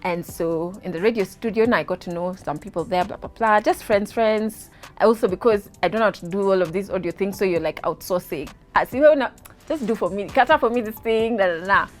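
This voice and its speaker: A woman in her thirties with a Kenyan accent, telling her story as an interview guest, animated and laughing, slipping into Swahili words. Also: medium pitch, brisk.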